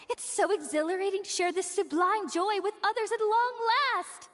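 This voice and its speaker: A woman's voice: extremely high pitch, a little slow, fairly bright tone, slight background noise. A woman playing a young female scholar in a video game, friendly, devout and effusive in manner. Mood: elated. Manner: effusive, gushing. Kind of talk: video game character acting.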